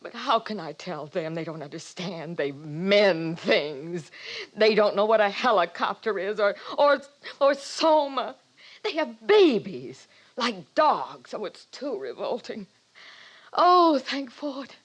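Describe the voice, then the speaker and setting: A woman's voice: mid-range voice, big pitch swings, takes it slowly. An actress playing a desperate, pitiful middle-aged outcast mother in a 1950s radio drama, tearful, pleading and rambling.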